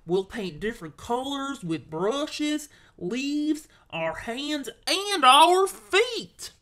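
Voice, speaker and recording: A woman hosting a children's storytime, reading a picture book aloud in an animated, theatrical way with drawn-out words. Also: high voice. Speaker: storyteller, show host.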